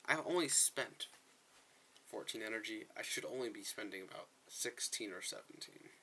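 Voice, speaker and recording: A man's voice: on the low side, moderate pace, bright, forceful tone, slight background noise, low-quality recording. A man giving casual gameplay commentary as a video host, chatting to viewers in a rambling, self-correcting way.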